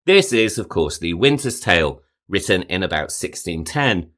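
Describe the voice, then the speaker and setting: Low-voiced man hosting a podcast. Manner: measured, lively rises then falling phrase endings. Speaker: podcast host. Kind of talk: scripted narration.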